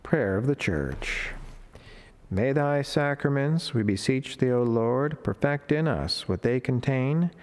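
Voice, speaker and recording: A middle-aged man reading a devotional reflection as a friar, in a solemn, measured, formal way. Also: medium pitch, animated, a little mellow. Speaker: Franciscan friar preacher. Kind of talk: read devotional reflection and prayer. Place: indoor chapel, at a lectern beside the altar. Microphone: lectern microphone.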